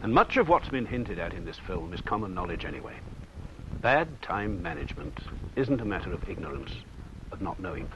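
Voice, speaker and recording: Deep-voiced, solemn male film narrator. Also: very animated. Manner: solemn, rhetorical, measured emphasis. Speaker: narrator and presenter. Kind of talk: scripted corporate film narration. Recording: muffled, noisy 1970s 16mm film soundtrack.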